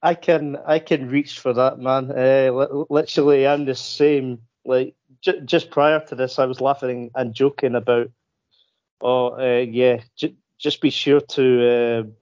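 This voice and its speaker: A man's voice: mid-range voice, steady tone, unhurried, a little mellow. A man interviewing a golfer, asking long, rambling questions with frequent stammers and restarts. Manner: rambling, stammering, self-deprecating. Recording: dull, lo-fi sound.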